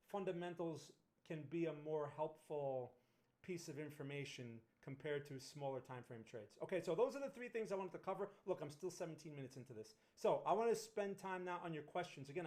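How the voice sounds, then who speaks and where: A man's voice: fairly high pitch, a little mellow. A middle-aged man from Northern New Jersey with a New Jersey accent, hosting a casual livestream about trading and often checking in with "right?". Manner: conversational, frequent "right?" tag questions and restarts. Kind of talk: casual livestream market analysis.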